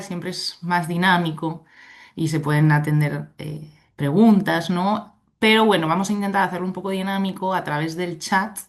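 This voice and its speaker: Spanish woman, low voice, chatty talk on a video call, keeps asking "right?". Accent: Spain Spanish. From Spain. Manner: chatty, keeps checking in with "¿no?" and "¿de acuerdo?". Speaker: invited speaker, legal expert. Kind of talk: online conference presentation. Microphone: computer mic on a video call.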